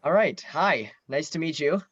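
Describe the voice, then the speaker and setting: A man's voice: on the high side, animated, moderate pace, a bit bright, breathy edge. A young man conducts a casual interview in a laid-back, slightly hesitant manner. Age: teen or young adult. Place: online video call. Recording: dull lo-fi call audio.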